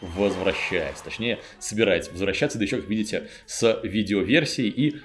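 Low-pitched man hosting a casual podcast. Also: a little fast, soft background music. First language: Russian. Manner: joking, informal. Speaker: podcast host.

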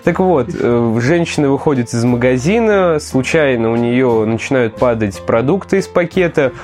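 A man's voice: medium pitch, animated, moderate pace, darkish tone, faint music behind. A man co-hosting a casual podcast, retelling anime plot points with hesitant fillers and the odd swear. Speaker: podcast co-host. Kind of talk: casual chat about anime.

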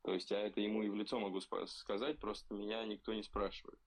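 Gravelly low-voiced young man, hesitant. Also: little pitch movement. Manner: hesitant, many fillers, slow and searching. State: slightly ill. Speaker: podcast co-host. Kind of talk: casual podcast chat. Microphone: remote recording.

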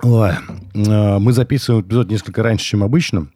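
A man hosts a casual podcast in a low, soft-edged, mellow voice. He is stuffed up with a cold and speaks colloquially, getting worked up as he goes. The sound is dry and close, with no room around it. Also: quick pace, dry recording. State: congested with a cold. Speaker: podcast host. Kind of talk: casual conversational podcast talk.

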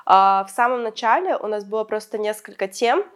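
A woman's voice: mid-range voice, expressive, brisk, clean tone. Woman with a cold, stuffy nasal voice, chatty podcast cohost, laughs a lot. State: sick, nasal and congested. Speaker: podcast co-host. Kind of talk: casual chat.

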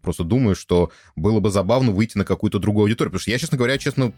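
A man's voice: on the low side, very fast, a little mellow, no echo, clean studio sound. A man hosting a podcast, thinking aloud in a loose, slangy, conversational way. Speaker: podcast host.

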